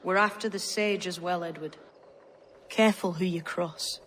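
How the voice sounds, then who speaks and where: An actress playing a scrappy young pirate who passes as a lad, blunt and defiant, in a video game. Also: female voice, on the low side, fairly even intonation, moderate pace, fairly bright tone, slight background noise, natural voice. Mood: curt, wary. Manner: blunt, streetwise. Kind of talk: cinematic video game acting.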